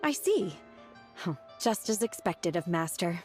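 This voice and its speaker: Game role: a flat-toned young woman disciple, calm and blunt, lowish voice. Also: a little slow, soft background music. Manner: calm and deadpan, plain-spoken. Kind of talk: video game voice acting.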